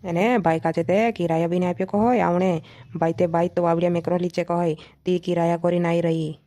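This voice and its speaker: A woman's voice: fairly low pitch, flat delivery, brisk, mellow, close to an everyday voice. A woman voicing a stubborn, argumentative young village son in a cartoon comedy, always complaining and answering back.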